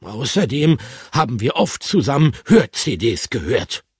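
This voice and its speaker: Guy doing a soft lisping hamster, high and wobbly, kinda husky. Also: very animated, measured pace, dry recording, clean studio sound, exaggerated voice. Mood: defensive. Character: gentle, wistful male pet hamster with a lisp.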